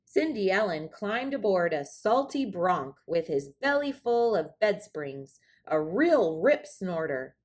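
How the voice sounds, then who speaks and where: A woman reading a children's story aloud in an expressive, dramatic storytelling manner. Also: fairly low pitch, slow, hardly any pauses. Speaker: storybook reader.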